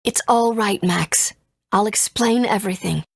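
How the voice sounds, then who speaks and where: A woman's voice: medium pitch, measured pace, vocal fry, breathy edge, dry recording, clean studio sound. A woman playing a grave, tender mother and rebel fighter in a video game, serious and dramatic. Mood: tender, regretful. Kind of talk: English video game voice acting.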